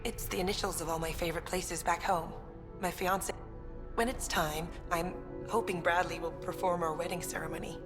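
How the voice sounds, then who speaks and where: A woman's voice: fairly low pitch, fairly even intonation, moderate pace, natural voice. A woman playing a confident, upbeat research scientist at a colony in a video game, speaking informally and earnestly. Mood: earnest, proud. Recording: long reverb, music behind.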